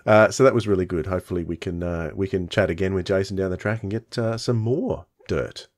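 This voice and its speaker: Low-voiced middle-aged man hosting a podcast. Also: a little fast. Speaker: podcast host. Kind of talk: casual chat, giving recommendations. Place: indoors on a video call. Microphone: large close microphone with headset.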